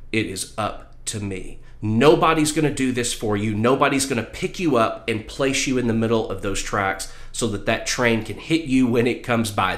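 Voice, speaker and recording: Male, middle-aged, medium pitch. Emphatic career-advice vlogger speaking to camera in an echoey small room. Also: a bit bright. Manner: emphatic, repeats phrases for stress. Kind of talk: motivational talk to camera. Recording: roomy, echoey indoor sound.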